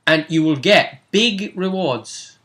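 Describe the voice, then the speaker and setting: A man's voice: fairly high pitch, expressive, slow pace, a bit bright. A man casually discussing a tabletop role-playing game as its game master, often checking with tag questions.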